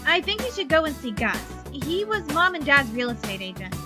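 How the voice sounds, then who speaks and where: A woman voicing a sensible, matter-of-fact girl who leads her friends, brisk and practical, in a story reading. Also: high voice, big pitch swings, over music.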